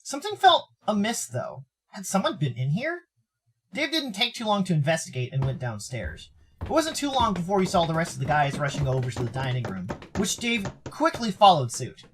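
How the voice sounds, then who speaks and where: An American man from Arizona giving theatrical, expressive narration of a visual novel as a storyteller. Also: sits high, brisk, slight background noise. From Arizona, United States. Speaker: narrator and streamer.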